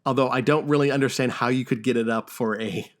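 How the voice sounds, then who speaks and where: A man hosts a relaxed, conversational podcast about films. His delivery is halting, with stammered starts and repeated words as he works toward his point. His voice sits at an ordinary middle pitch for a man. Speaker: podcast host. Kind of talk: casual film discussion podcast.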